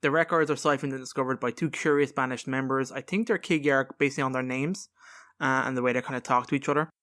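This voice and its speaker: A man's voice: medium pitch, steady tone, quick pace, fairly bright tone. Casual man co-hosting a gaming podcast. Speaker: podcast co-host. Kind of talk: casual video game lore discussion.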